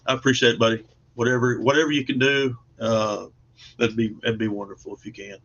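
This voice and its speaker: A man's voice: mid-range voice, level tone, brisk, fairly bright tone. A man chatting casually as an interview guest about his creative work, earnest, modest and plain-spoken in a folksy way. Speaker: guest, comic book artist and writer. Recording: dull lo-fi online call audio.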